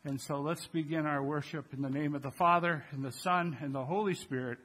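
A man's voice: on the high side, steady tone, fairly quick, a bit bright, husky. An older man with an American accent speaking as a pastor, leading a church service and addressing the congregation. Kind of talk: church announcements and liturgy to a congregation. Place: large church sanctuary with congregation.

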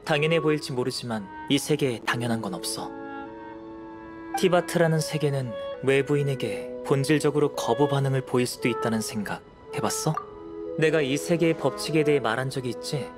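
A calm young alchemist played by a man in an anime game, with a mid-pitched voice. He is measured, soft-spoken and philosophical, with music behind him. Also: close to an everyday voice. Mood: composed, explanatory. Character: calm, pensive young male alchemist scholar. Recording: clean studio voice over background music.

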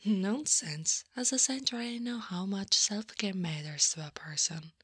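Woman as a slow, breathy, caring older centaur lady. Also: medium pitch, no echo, studio quality. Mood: caring, insistent. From Italy. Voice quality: breathy, often whispering. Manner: slow, soothing, softly teasing. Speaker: ASMR roleplay performer. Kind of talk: ASMR roleplay.

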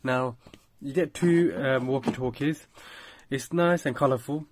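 A man's voice: mid-range voice, unhurried, slight background noise. A man giving a casual product review in a plain-spoken, slightly hesitant explaining manner.

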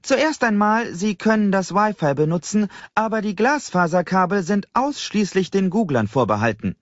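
A commanding young woman team leader, acted by a male audiobook narrator, speaks in a raised, high voice. She announces things briskly and in an organized way to a gathered crowd. The audio is slightly dull. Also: big pitch swings, no echo. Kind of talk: audiobook character voice.

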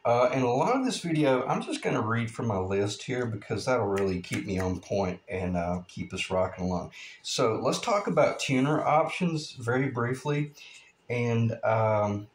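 A man in his fifties giving a relaxed, rambling guitar-building tutorial at his workshop bench, with many "uh" fillers. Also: mid-range voice, normal speed, a little hiss or hum. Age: 50s.

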